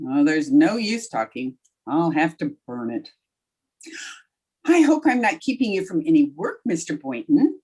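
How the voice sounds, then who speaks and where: Woman acting as a sardonic schoolteacher, swooping lively intonation, a little husky, radio comedy wisecracks. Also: low voice, a little slow, full character voice. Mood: chatty, coy. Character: wry, wisecracking woman high school teacher. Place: remote recording over video call.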